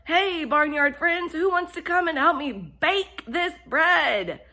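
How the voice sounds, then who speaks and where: A woman's voice: extremely high pitch, expressive, slow pace, bright, forceful tone, pitched above the performer's own voice, heavily acted. Woman as an emphatic, exasperated little red hen. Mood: calling out.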